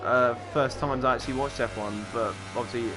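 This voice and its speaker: A man commentating a sim racing qualifying session, calling laps quickly and excitedly, with offhand asides. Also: medium pitch, over music, rough recording. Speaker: race commentator.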